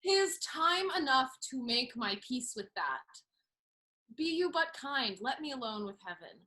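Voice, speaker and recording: Woman playing a high, bright, spirited young noblewoman. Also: big pitch swings, a little slow, heavily acted. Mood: cheeky defiant. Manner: theatrical, playful, spirited. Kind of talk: classical stage comedy acting.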